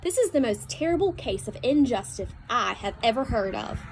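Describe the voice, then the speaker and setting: A woman's voice: a little high, animated, moderate pace, a little hiss or hum, rough recording. A woman voicing a distraught, indignant young girl in a storybook reading, with an emotional, emphatic, protesting manner. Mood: stubborn, indignant.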